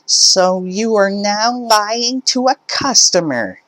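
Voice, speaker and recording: A man putting on a shrill, furious entitled mother. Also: very animated, slow pace, dry recording. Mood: indignant. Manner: indignant, demanding, shouting. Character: shrill entitled middle-aged mother shopper.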